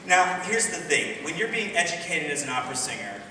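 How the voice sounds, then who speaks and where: A man's voice: fairly high pitch, big pitch swings, a little fast. A man in his thirties, a singer, chatting casually and self-deprecatingly to a concert audience from the stage. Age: 30s. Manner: chatty, self-deprecating, says okay and like often. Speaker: singer introducing a concert piece. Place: school auditorium on stage. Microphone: distant room mic. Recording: echoey hall, distant, with noise.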